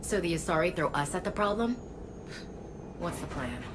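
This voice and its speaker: A woman's voice: fairly low pitch, animated, moderate pace, lightly acted. A woman playing a blunt, wry squad soldier in a video game. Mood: skeptical. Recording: noisy game ambience behind.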